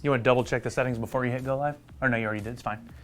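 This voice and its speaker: A man's voice: medium pitch, steady tone, racing, soft background music. A man with a Southern US accent hosting a casual company livestream, upbeat and joking with his viewers. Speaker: livestream host from a marketing team. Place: company headquarters studio.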